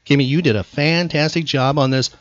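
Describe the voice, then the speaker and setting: A man's voice: a little high, steady tone, a little fast, no room sound, highs cut off. A man hosting trivia as quizmaster in an emphatic, announcer-like radio style. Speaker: podcast host and quizmaster.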